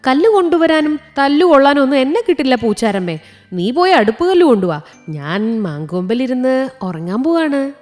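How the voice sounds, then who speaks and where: Woman doing a lazy greedy girl fly for a kids cartoon story, whiny n stubborn, really high voice. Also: very animated, normal speed, a little mellow, soft background music. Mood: lazy, dismissive. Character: lazy, greedy female housefly who shirks all work.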